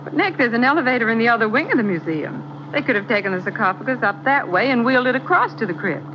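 A woman in a 1940s radio play acting a quick-minded young assistant who eagerly questions the detective. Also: on the high side, animated, music in the background, close to an everyday voice. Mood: inquisitive. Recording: dull, noisy old radio broadcast.

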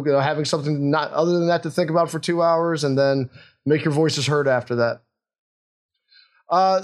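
A middle-aged man from the United States co-hosting a sports podcast, talking in an easygoing, earnest way that gets animated. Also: a little high. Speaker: podcast co-host. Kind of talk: casual sports podcast chat. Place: home in Austin, Texas.

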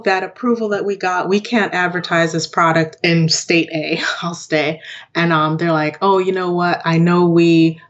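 Guest interviewee, a low-pitched woman, conversational, often laughing and voicing other people. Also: dull audio. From born in Jamaica, raised in western New York. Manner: chatty, laughs often, acts out quoted voices. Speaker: guest, digital product owner. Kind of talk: casual interview chat.

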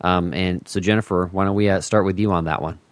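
Very deep-voiced man hosting a podcast. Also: fairly even intonation, fast talker, smooth, no echo. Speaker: podcast host. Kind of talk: casual interview chat.

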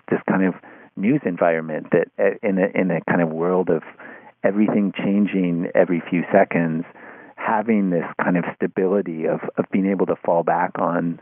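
A man giving conversational commentary as an interview guest, haltingly searching for words and repeating them as he goes. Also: low voice, no room sound. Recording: tinny phone-like line.

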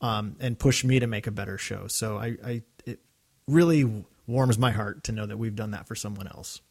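A man's voice: on the low side, moderate pace, creaky, slightly rough. A man co-hosting a fan podcast, reading listener mail and chatting casually, laughing easily. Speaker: podcast co-host.

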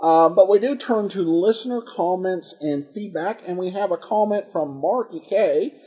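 Podcast host guy, highish husky voice, mellow but up and down, dry commentary. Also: normal speed. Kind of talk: conversational episode commentary.

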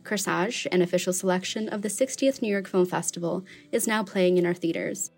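A woman's voice: fairly low pitch, fairly quick, darkish tone, soft background music. A woman hosting a podcast, reading a polished, scripted introduction. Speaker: podcast host.

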